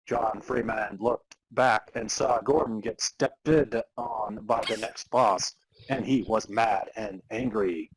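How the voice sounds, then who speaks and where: A man's voice: on the low side, slow, gravelly, a little hiss or hum, low-quality recording. A man reading amateur fan fiction aloud as narrator, in a mock-serious dramatic storytelling style. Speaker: podcast host reading as narrator.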